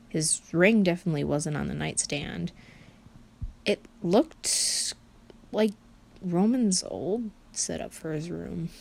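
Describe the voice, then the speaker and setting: A woman narrating a fan-fiction story in an informal, halting, conversational way. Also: on the low side, darkish tone.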